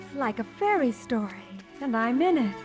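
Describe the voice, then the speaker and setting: A sweet, wistful young woman in 1950s radio musical comedy. Her voice sits a little high, with clear music behind it on a vintage broadcast recording. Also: sing-song, normal speed. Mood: enchanted. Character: sweet, wide-eyed, wistful working-class young woman.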